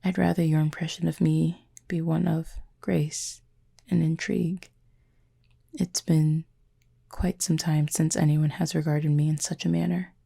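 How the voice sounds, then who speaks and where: A woman playing a gentle, formal, wounded cupid in an intimate audio roleplay, speaking softly in measured, breathy phrases. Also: low voice, little pitch movement, full character voice. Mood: wistful, warm. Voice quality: husky, breathy, creaky. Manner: measured, formal, soft-spoken with breathy pauses.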